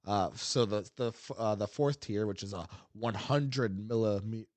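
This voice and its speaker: A man's voice: fairly low pitch, measured pace, a little mellow, no echo. A man co-hosting a casual podcast about a game, rambling with frequent ums and uhs and laughing easily. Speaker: podcast co-host.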